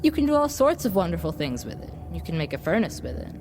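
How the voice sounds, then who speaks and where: A woman playing a smooth-tongued devil boy in an audio drama, coaxing like a salesman and offering tempting gifts. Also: lowish voice, big pitch swings, fast. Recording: long reverb, background noise.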